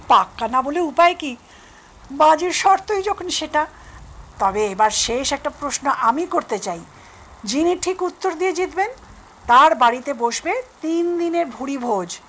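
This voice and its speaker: An actress playing a genial Bengali mother-in-law in an audio drama, polite and gently teasing. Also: high voice, expressive, unhurried, exaggerated voice. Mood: playful.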